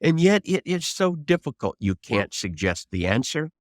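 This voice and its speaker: Animated older man giving seasoned advice. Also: fairly high pitch, normal speed, no echo, clean studio sound. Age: 70s. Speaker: veteran trial lawyer, guest. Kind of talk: unscripted advice to fellow lawyers.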